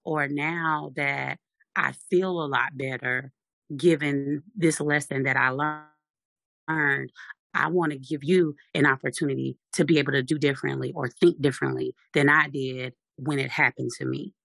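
A deep, raspy voice with a gravelly edge and a bright, forceful tone. The speaker holds each point firmly and assertively in a relaxed conversation. The recording is dry and close. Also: a little slow, no echo. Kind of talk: casual podcast discussion.

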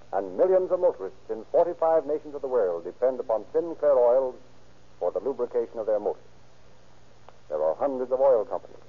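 A man's voice: medium pitch, husky. A man with an American accent announcing in formal, declamatory 1930s radio style, on a tinny old recording. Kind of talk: 1930s radio narration and sponsor pitch.

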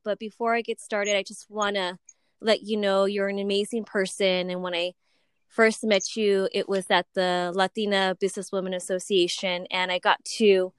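A woman's voice: mid-range voice, clear, resonant tone, no echo. A woman in her thirties hosting an interview show, upbeat and gushing as she welcomes her guest. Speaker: podcast host. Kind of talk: casual interview chat.

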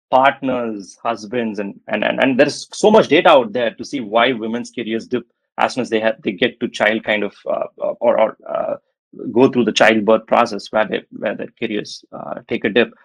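A man's voice: mid-range voice, no room sound, poor audio. A man speaking as an interview guest on venture capital, talking in a hesitant way with many fillers and restarts. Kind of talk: conversational interview answer.

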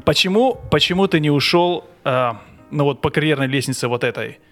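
A man's voice: a little high, animated, brisk, fairly bright tone, soft background music. A man hosting a casual podcast interview, asking questions and teasing his co-host.

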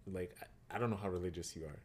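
A man's voice: sits low, racing. A man co-hosting a podcast, talking casually and animatedly, with lots of "like" and repeated words. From Kenyan heritage. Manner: animated, repeats himself, heavy use of "like". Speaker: podcast co-host.

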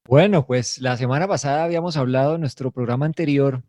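Man, market commentator, mellow tone, measured, dry sound. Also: medium pitch, dry recording. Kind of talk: explanatory commentary.

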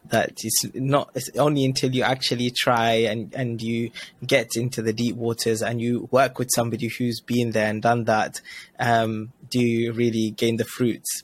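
Casual affirming male podcast host. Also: medium pitch. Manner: casual, affirming, quick interjections. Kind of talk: conversational podcast interview.

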